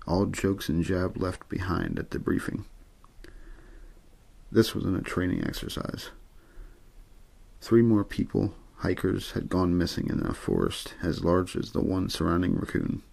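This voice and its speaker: Gravelly deep-voiced man reading a story. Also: a little mellow. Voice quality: gravelly, husky. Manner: understated, untheatrical delivery. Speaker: amateur audiobook reader. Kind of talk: ASMR bedtime story reading.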